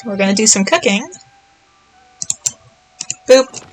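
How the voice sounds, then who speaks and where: A woman's voice: mid-range voice, lively intonation, slow, faint music behind. A woman giving casual, playful video game commentary, thinking aloud and murmuring little nonsense words to herself as she plays.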